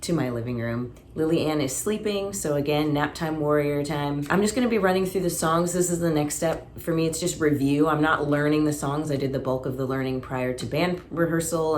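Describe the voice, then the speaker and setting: A young woman with a naturally low voice, chatting casually to camera as a vlogger and worship leader. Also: brisk, hardly any pauses, darkish tone, slight background noise. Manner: chatty and upbeat. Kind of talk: casual vlog talk to camera.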